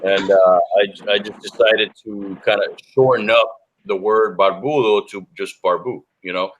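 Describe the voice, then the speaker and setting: A man's voice: on the low side, steady tone, measured pace, muffled recording, slight background noise. A man, son of Cuban parents and a beard company owner, talking casually on a group video call and rambling with many restarts. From United States, Cuban parents.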